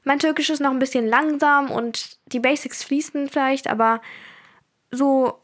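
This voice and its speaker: A woman's voice: high voice, fairly even intonation, moderate pace. A teenage girl from Germany hosting her own podcast, telling about her week in a chatty, rambling way with frequent fillers. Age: teens. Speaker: podcast host. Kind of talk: casual personal podcast chat.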